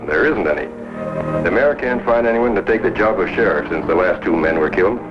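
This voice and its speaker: Low-voiced, stubborn frontier newspaperman. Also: lively intonation, fairly quick. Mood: grim. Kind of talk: 1950s television western acting. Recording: dull vintage TV soundtrack with music.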